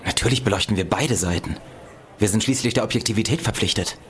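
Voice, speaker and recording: A man's voice: lowish voice, fast talker, lightly acted. A man playing an evasive, self-justifying newspaper journalist in a radio play, polite but defensive. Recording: faint crowd and background noise.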